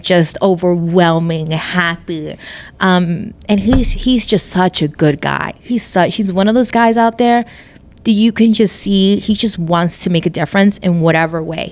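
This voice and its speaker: A young woman being interviewed about her club work, chatting casually with lots of "like" and frequent laughter. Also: lowish voice. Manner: bubbly, laughs often, lots of "like". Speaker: club communications coordinator, guest.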